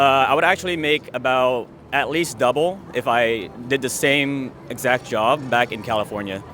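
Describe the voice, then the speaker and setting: A man with a middle-pitched voice answers a street interviewer out in the city. He thinks aloud, pausing often on um and uh. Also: a bit bright. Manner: thoughtful, many fillers.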